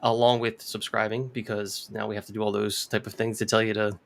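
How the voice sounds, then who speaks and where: A man's voice: on the low side, level tone, brisk, vocal fry. A man from Delaware with an American accent, chatting casually as a podcast co-host. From Delaware, United States. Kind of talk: casual chat.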